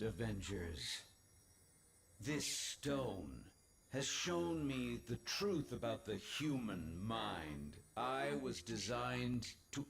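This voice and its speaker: An actor playing a menacing, grandiose robot villain in a video game, cold and pompous in delivery. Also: male voice, mid-range voice, vocal fry, full character voice. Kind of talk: video game cutscene acting.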